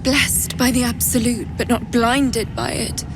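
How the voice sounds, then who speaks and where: Slow, scheming dark-elf trader played by a woman. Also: fairly high pitch, steady tone, background noise, natural voice. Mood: smooth, intrigued.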